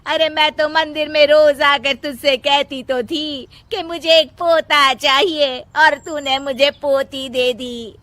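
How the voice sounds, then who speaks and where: A woman's voice: sits high, fairly even intonation, takes it slowly, few pauses, a bit bright, dry recording, voice pushed up. A woman voicing a melodramatic, scolding older mother-in-law in a cartoon moral story. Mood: wailing, aggrieved. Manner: scolding, dramatic wailing and complaining. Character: nagging, melodramatic older mother-in-law obsessed with having a grandson.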